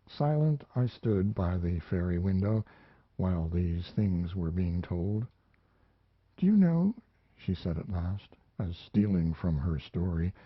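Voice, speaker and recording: A man's voice: deep voice, breathy edge, no echo, highs cut off. A man reading literary fiction as a scripted audiobook narration.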